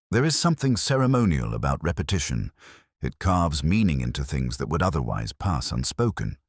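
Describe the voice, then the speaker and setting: A man narrating a first-person detective story in a measured, reflective, literary manner. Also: rumbling low, dry recording, clean studio sound.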